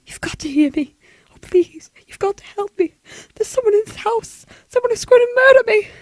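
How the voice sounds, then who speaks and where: Frantic, high-pitched invalid wife. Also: female voice, big pitch swings, normal speed, fairly bright tone, no echo, full character voice. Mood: terrified. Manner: imperious, nervous, dramatic. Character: frightened, demanding invalid wife alone at night. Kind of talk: old-time radio thriller acting.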